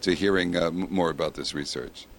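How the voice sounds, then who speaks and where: Super deep voiced host guy asking questions, kinda hesitant, lots of uhs. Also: steady tone, brisk. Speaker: podcast host. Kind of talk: interviewing.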